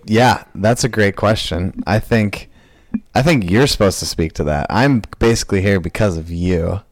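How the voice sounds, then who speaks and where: Lower-voiced man in a dry room. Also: normal speed, no echo. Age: 20s. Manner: relaxed, joking, easygoing. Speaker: podcast co-host. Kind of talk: casual podcast chat.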